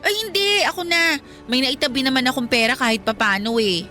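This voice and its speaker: A woman's voice: sits high, a little fast, pushed and bright. A woman playing a loyal, frank young best friend in a radio drama, casual and candid. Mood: friendly, frank. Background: faint background music.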